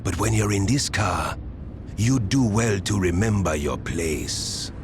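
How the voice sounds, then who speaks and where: A man's voice: low voice, animated, slow, background noise. An actor playing a stern male gang enforcer in a video game, cold and scolding.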